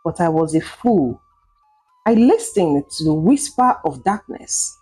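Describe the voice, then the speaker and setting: Casting: female narrator as guilt-ridden folktale mother, pleading, slightly husky, music behind. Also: lowish voice, big pitch swings, a little slow, dark tone, full character voice. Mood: pleading, remorseful. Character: remorseful, pleading, self-sacrificing mother.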